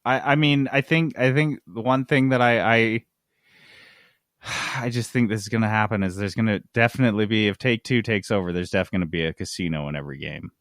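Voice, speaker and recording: A man's voice: fairly low pitch, fast, fairly bright tone, no room sound, studio quality. A man hosting a podcast in a casual gaming news chat, talking in a wry, informal way. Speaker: podcast host.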